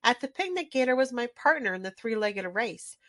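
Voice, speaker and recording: A woman reading a children's storybook aloud in an expressive storytelling manner. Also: fairly high pitch, fairly quick, no echo, clean studio sound. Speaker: children's book reader. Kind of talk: scripted storybook read-aloud.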